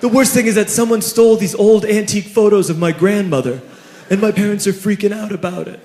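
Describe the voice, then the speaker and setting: A man's voice: brisk, darkish tone, slight background noise, natural voice. A comedian putting on an anxious teenage boy in a raised, worried voice. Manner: worried, rattled, high-pitched. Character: anxious teenage boy after his party. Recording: roomy live club recording with audience.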